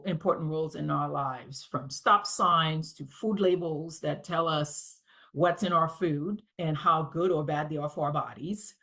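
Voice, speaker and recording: A woman in her forties with a Haitian accent delivers a prepared conference talk. She speaks very slowly and deliberately, as if reading each line, in a somewhat low voice. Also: sharp tone.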